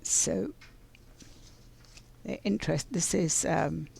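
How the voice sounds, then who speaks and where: Slow, gravelly-voiced woman reading cards. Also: fairly low pitch, darkish tone. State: scratchy voice from pollen. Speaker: spiritual card reader. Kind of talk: casual live oracle card reading.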